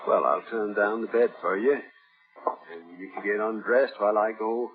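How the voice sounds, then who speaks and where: A man acting a creepy mad husband in a 1950s radio thriller, folksy, possessive and eerily calm. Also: lowish voice, a little slow, lightly acted. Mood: eerily hospitable. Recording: muffled old radio recording, loud music behind.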